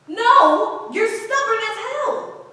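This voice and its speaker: A woman's voice: very high voice, animated, drawn-out words, pushed and bright, exaggerated voice. A woman playing a proud, pragmatic film actress, emphatic and colloquial, in a staged play reading. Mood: exasperated, urging. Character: Diane, proud, pragmatic, hard-bitten film actress urging a stubborn friend. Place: echoey room at a staged reading. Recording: very echoey room, distant microphone.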